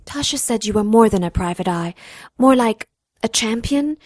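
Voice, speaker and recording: A woman's voice: fairly high pitch, animated, normal speed, a little mellow, no echo, clean studio sound. A woman playing a worried, protective single mother, speaking politely and earnestly in a radio drama.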